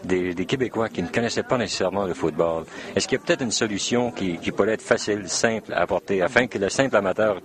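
A French Canadian man with a very deep pitch puts questions as an interviewer. His phrasing is hesitant and roundabout. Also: quick pace, few pauses, a crowd in the background.

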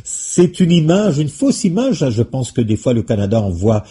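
A man's voice: medium pitch, big pitch swings, moderate pace, dark tone, husky. A man hosting a radio interview, reading out questions and asking long, rambling follow-ups. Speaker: radio host.

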